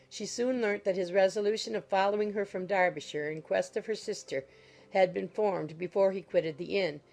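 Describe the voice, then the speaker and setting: A woman's voice: medium pitch, darkish tone. A woman reading scripted literary narration as the narrator of a classic audiobook.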